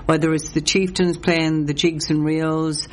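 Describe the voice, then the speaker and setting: A middle-aged woman from Donegal with a Donegal Irish accent, a native Gaelic speaker, talking as a singer in a relaxed interview, hesitant and reflective, restarting her sentences often. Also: low voice, a little slow, poor audio. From Donegal, Ireland. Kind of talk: casual interview chat.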